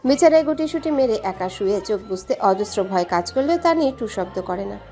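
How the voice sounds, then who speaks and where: Female narrator, brisk quick delivery, mid pitch, scripted dramatic storytelling, faint background music. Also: expressive, dark tone.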